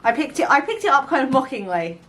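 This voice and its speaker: A woman's voice: sits high, big pitch swings, fast talker, fairly bright tone, slight background noise. A young woman in a casual, conversational book chat, co-hosting a vlog.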